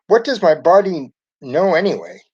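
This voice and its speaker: An excitable storybook schoolboy, acted by a man, keen and stubborn. He protests in a raised, bouncing pitch. The recording is muffled and lo-fi. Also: moderate pace, breathy edge, full character voice. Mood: protesting. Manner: exclamatory, protesting. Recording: dull lo-fi recording.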